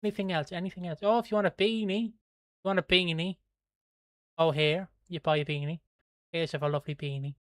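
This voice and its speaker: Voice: medium pitch, steady tone, brisk, no echo, clean studio sound. An Irish host from Kildare chatting casually on a livestream, rambling, swearing and laughing while reading viewer comments. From Ireland. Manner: plugs merch in a breezy, offhand way.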